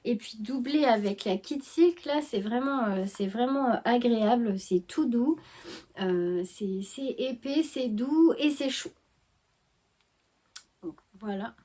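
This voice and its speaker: A woman's voice: fairly high pitch, slow, dark tone. A woman chatting casually about her knitting to her viewers, stuffy from a head cold, talking hesitantly with many fillers and restarts. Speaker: knitting vlogger. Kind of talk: casual chat to camera.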